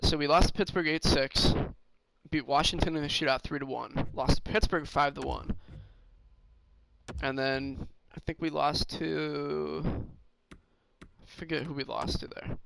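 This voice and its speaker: A man with a slightly hoarse, raspy voice, casually commentating on his own game and thinking aloud with lots of fillers. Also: fairly high pitch, steady tone, measured pace, fairly bright tone, dry recording, rough recording. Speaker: gaming streamer.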